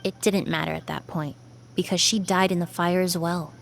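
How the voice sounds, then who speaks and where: An actress playing a polite, measured young female perfumer in a video game. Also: fairly low pitch, steady tone, creaky, faint music behind. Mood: polite, serious.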